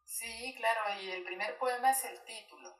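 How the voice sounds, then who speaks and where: Thirties woman, Chilean accent with a Mexican blend; relaxed, thoughtful interviewee heard from a distance in an echoey room. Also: on the high side. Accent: Chilean Spanish mixed with Mexican. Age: 30s. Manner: thoughtful, pausing, laughs often. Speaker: poet and guest.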